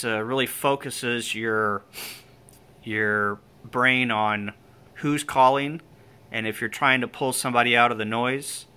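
A man giving an informal hands-on equipment demonstration as a hobbyist, explaining step by step in short, halting phrases. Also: mid-range voice, sharp tone, smooth. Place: indoor room with equipment on folding tables. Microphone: handheld camera or phone, operator behind it.

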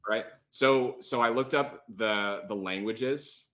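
A man's voice: mid-range voice, slow, sharp tone. An American man in his thirties, a podcast guest on a video call, talking in a laid-back way with lots of "like.". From United States. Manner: laid-back, says "like" a lot. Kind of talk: casual video-call interview. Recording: muffled video-call audio.

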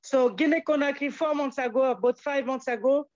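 Man, halting English, dull audio. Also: very high-pitched, steady tone, a little mellow. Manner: halting, searching for English words, Jamaican turns of phrase. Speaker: guest musician. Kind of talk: casual conversational interview.

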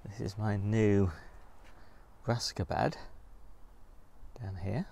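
A man's voice: fairly low pitch, steady tone, takes it slowly, warm tone. A middle-aged man giving a casual, unscripted garden tour in an understated way, musing aloud as he walks outdoors. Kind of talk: casual unscripted vlog narration while walking. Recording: clear outdoor background noise.

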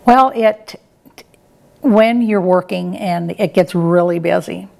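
Middle-aged woman, low voice, heavy vocal fry, hesitant, conversational interview guest. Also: slow, darkish tone. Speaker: interview guest, retired air traffic controller and professor.

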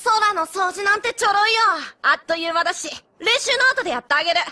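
Cocky cartoon pegasus girl, high lively voice, tomboyish, boastful. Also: quick pace, heavily acted. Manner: boastful, casual tomboyish speech. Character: cocky, brash young female pegasus pony.

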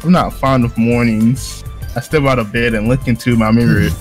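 A man's voice: mid-range voice, fairly even intonation, normal speed. A man reading visual novel text aloud and breaking into casual, joking banter with some swearing. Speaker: narrator of a visual novel playthrough. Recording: music behind the voice.

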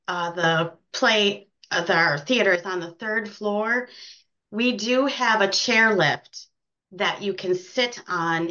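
A woman talks casually as a guest on a show. Her voice is bright and sharp, at a middle pitch, through a somewhat dull, lo-fi recording. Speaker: interview guest. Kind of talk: casual chat.

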